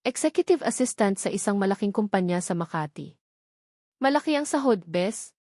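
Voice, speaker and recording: A woman's voice: medium pitch, lively intonation, normal speed, darkish tone, no room sound, clean studio sound, natural voice. Breezy, chatty Filipino best friend acted by a woman. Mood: eager, excited. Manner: breezy, eager, familiar. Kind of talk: audio drama dialogue within narration.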